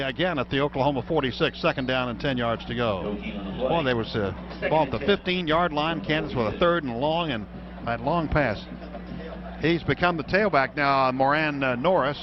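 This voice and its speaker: A man with an American accent calling play-by-play live as a football radio announcer. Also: medium pitch. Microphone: broadcast booth microphone. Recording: dull radio broadcast, loud stadium background noise.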